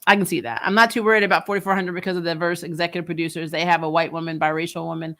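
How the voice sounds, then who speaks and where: A woman's voice: on the low side, flat delivery, racing, fairly bright tone. A woman hosting a TV recap, chatting casually and bluntly with strong, exasperated, sweary opinions. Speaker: host of a TV recap show. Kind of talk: casual opinionated fan commentary, replying to live comments.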